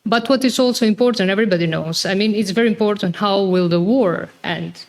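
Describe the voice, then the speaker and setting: A woman's voice: medium pitch, a little fast. A woman with a Serbian accent giving hesitant panel remarks, full of fillers. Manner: hesitant, many fillers, careful phrasing. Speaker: book author and panel speaker.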